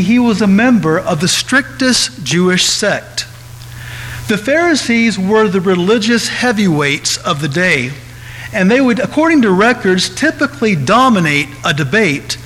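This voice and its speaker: A man preaching a Bible study sermon, explaining scripture in a measured teaching manner. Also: high voice, fairly bright tone, big-room sound, slight background noise, poor audio.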